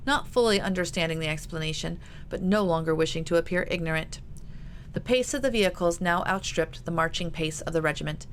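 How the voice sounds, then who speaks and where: A woman author reading her own historical novel aloud in an audiobook narration style. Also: lowish voice. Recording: noticeable background noise.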